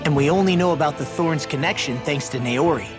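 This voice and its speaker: Higher-pitched, blunt youthful game companion male actor. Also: a little fast, music in the background, lightly acted. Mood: concerned. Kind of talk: scripted video game voice acting.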